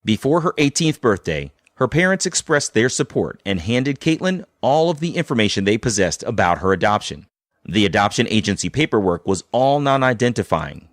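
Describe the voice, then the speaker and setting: A man's voice: medium pitch, very animated, normal speed, dry recording, clean studio sound. A man hosting a podcast, moving between scripted narration and conversational interviewing. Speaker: podcast host.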